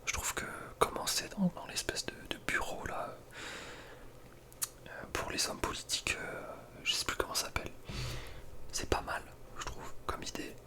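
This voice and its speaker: Whispering young man, ASMR. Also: moderate pace. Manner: informal, slangy, hesitant musing. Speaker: ASMR video creator. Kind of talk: whispered ASMR, casual gaming commentary.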